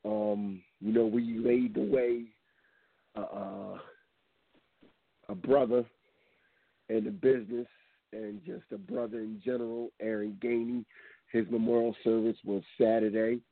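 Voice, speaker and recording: A hoarse man hosting a radio talk show, talking casually and rambling with many ums. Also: lowish voice, unhurried, no room sound. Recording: tinny phone line.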